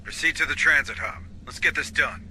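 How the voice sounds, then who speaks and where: An actor playing a gruff, no-nonsense marine squad leader in a video game, clipped and commanding. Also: male voice, on the high side, brisk, bright, forceful tone, slight background noise. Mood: urgent, commanding. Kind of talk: video game military drama.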